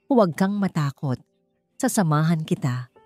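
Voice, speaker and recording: A woman voicing a gentle, caring laundry woman in a story, speaking tenderly and coaxingly. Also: low-pitched, expressive, moderate pace, soft background music. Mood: soothing.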